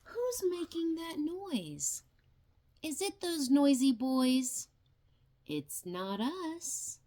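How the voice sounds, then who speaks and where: An older woman reading a children's picture book aloud in an expressive, deliberate manner, with dramatic questions and sound words. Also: high voice. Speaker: grandmother reading a storybook aloud.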